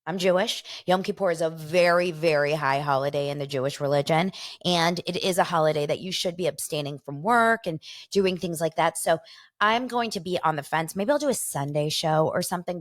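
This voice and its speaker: A woman in her forties with an American accent, hosting a casual solo gossip show in a chatty, jokey, informal way, talking straight to her listeners. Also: lowish voice. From Los Angeles, United States. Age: 40s. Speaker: podcast host.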